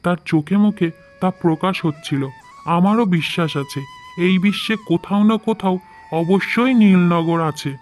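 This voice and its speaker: A man's voice: sits high, unhurried, faint music behind. Man as proud, earnest young suitor. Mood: insistent.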